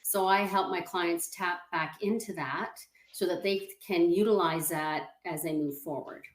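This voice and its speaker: Calm woman coaching over a roomy call. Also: lowish voice, a little slow. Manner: calm, explanatory, walks the listener through exercises. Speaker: confidence coach, interview guest. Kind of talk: video call interview. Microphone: video call setup.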